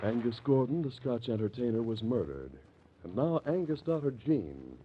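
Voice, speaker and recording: A man's voice: mid-range voice, lively intonation, moderate pace, slight background noise. A man announcing and narrating an old-time radio drama in a dramatic, suspenseful way. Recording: thin, phone-like old radio recording.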